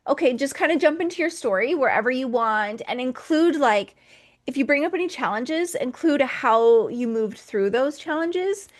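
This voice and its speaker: A woman hosting a podcast interview, encouraging and upbeat as she asks questions. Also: fairly high pitch. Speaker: podcast host. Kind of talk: casual interview talk.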